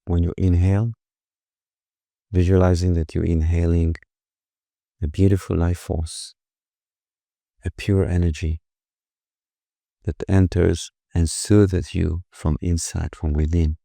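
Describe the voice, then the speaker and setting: A man leading a guided meditation in a slow, gentle, soothing manner, with pauses between phrases. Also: deep voice, flat delivery, creaky, no room sound, studio quality.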